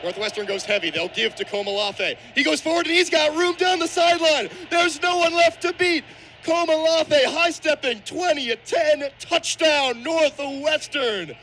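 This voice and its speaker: A man's voice: very high voice, normal speed. A young man doing live football play-by-play on the radio as the main commentator. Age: young adult. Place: outdoor football stadium broadcast. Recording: stadium broadcast with loud crowd-like ambient noise.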